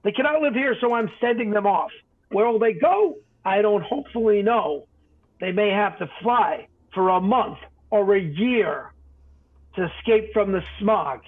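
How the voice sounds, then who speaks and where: A man's voice: sits high, a little slow. A man voicing an indignant, scolding little creature that defends nature in a storybook, snappish and pleading by turns. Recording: tinny, phone-like narrow band.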